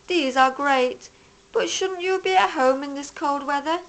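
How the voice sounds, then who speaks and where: A woman's voice: high voice, measured pace, fairly bright tone. A woman voicing a friendly, easygoing male otter in a storybook, cheerful and plain-spoken.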